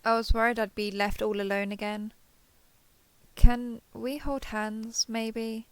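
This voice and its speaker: A woman's voice: a little high, little pitch movement, takes it slowly, clean tone, dry recording. A woman playing a lonely, clingy alien posing as a human survivor in an intimate audio roleplay, speaking hesitantly and coaxingly to the listener. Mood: relieved, clingy. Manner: hesitant, coaxing, softly over-eager to please.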